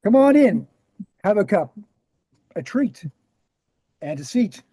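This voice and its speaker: A man plays an affable, knowledgeable author in his sixties for a screenplay table read. His voice is soft-edged and relaxed, a little high for a man, and closely dry. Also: slow pace, husky, dry recording, heavily acted. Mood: affable, assured.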